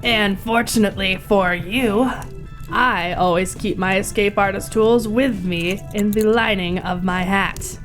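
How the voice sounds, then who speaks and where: A woman's voice: mid-range voice, fairly even intonation, unhurried, a bit bright, soft background music, natural voice. A woman playing a tough, sarcastic mercenary adventurer with a brash, wisecracking manner in a motion comic.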